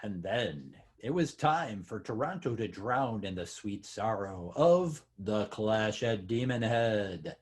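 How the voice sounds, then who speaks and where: A man's voice: on the low side, animated, slow pace, few pauses, husky. A man announcing scene headings and captions in a scripted screenplay reading.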